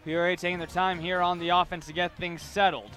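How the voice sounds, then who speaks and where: A young American man with a high, bright voice works as a sports reporter and announcer. He delivers his commentary in a forceful, clear-cut broadcast style. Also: little pitch movement, fairly quick, soft background music.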